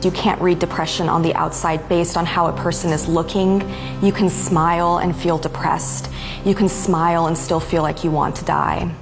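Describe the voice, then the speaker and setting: Low, gravelly-voiced woman in her thirties. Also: flat delivery, normal speed, over music. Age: 30s. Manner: earnest, direct, reflective. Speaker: interviewee, suicide attempt survivor. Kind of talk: candid interview testimony.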